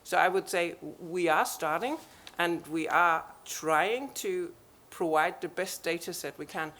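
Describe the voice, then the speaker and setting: A woman on a conference panel answering an audience question in a measured, explanatory way. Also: fairly low pitch, a bit bright.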